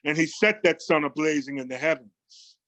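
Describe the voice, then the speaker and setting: A man playing a grand reverend, reciting a poem like a sermon with deliberate, emphatic storytelling. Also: a little high, fairly bright tone, no echo, lightly acted. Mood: grand, reverent. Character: grand male reverend comforting his congregation with poetry. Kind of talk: dramatic sermon-like poetry recitation.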